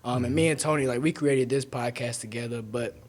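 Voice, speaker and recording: A man's voice: medium pitch, fairly even intonation, brisk. Young man casually hosting a podcast. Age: 20s. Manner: loose, filler-heavy questioning. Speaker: podcast co-host. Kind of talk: casual interview chat.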